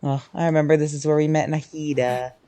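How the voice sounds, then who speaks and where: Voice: medium pitch, fairly even intonation, brisk, mellow. A gamer giving informal, slangy reaction commentary while playing a game.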